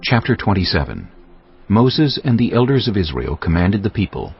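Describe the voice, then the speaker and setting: A man's voice: lowish voice, very animated, moderate pace, slightly rough, exaggerated voice. A man narrating a dramatized audio Bible as a grave, formal storyteller. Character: grave male narrator of scripture. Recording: dull band-limited audio over music. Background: background music.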